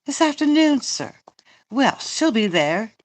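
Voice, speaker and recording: A woman reading a chatty, fussing female servant, a loyal nurse, in a halting amateur Shakespeare read-through. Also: fairly high pitch, big pitch swings, pitched above the performer's own voice. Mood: fussing, deferential.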